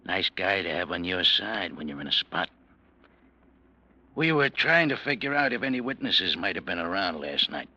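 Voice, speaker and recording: An actor playing a menacing male gangster boss who threatens quietly in a 1940s radio drama, on a muffled old recording. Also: sits low, very animated, normal speed, heavily acted.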